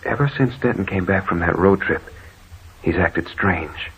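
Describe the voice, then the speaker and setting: An actor playing a concerned, uneasy visiting brother in an old radio drama, with an earnest, theatrical delivery. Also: male voice, low voice, unhurried, a bit bright. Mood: troubled. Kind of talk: 1940s radio drama acting. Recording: noisy, tinny old radio recording.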